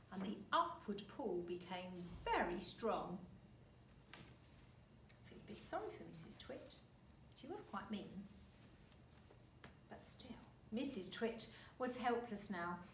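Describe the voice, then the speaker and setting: A woman reading a children's story aloud like a teacher, expressive and deliberate. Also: mid-range voice. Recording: roomy, distant mic, faint noise.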